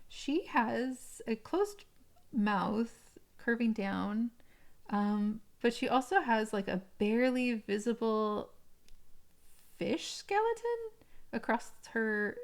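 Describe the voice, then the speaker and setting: A woman with a mid-range voice talks through an unboxing review in a casual, unscripted style. She describes things in careful detail, pausing and piecing her phrases together as she goes. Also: mid-range voice, vocal fry. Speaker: doll collector reviewing an unboxing.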